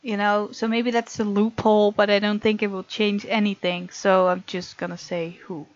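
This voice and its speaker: A woman's voice: mid-range voice, flat delivery, moderate pace, no room sound. A woman hosting a let's play, reading game text aloud and chatting casually as she reacts to the story. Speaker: gaming video host. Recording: muffled lo-fi mic over game music. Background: game music.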